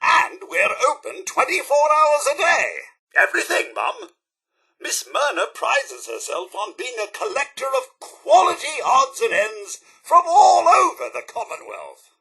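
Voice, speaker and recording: An actor playing a fussy, loyal robot butler in a video game, with a posh, theatrical British manner and formal servant speech. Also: male voice, very high-pitched, huge pitch swings, unhurried, creaky, bright, forceful tone, husky, exaggerated voice. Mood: polite, salesmanlike. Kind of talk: video game character voice lines.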